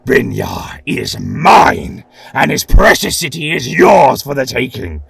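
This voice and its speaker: A man's voice: mid-range voice, big pitch swings, takes it slowly, vocal fry, far from the mic, slight background noise, voice pushed up, exaggerated voice. A man voicing a savage, bloodthirsty barbarian warlord who roars a war chant. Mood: roaring war chant. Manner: roaring, shouting war chant.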